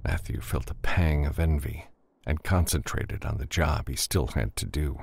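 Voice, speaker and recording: Very deep-voiced man narrating a story. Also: normal speed, creaky. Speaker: audiobook narrator. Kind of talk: scripted dramatic narration of science fiction.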